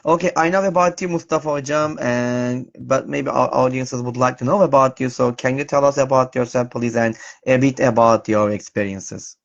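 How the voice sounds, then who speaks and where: A man's voice: medium pitch, fairly even intonation, normal speed, gravelly, few pauses. A man with a Turkish accent hosting a casual live online interview, speaking politely and full of thanks in repetitive phrases. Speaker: host of an online teacher talk series. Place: online video call live stream. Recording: dull, lo-fi live-stream audio.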